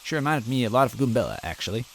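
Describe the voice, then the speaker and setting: A man's voice: mid-range voice, animated, very fast, a little hiss or hum, poor audio. A man reading a fan story aloud in first person, earnest and timid in tone. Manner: earnest and timid, self-deprecating. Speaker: story reader.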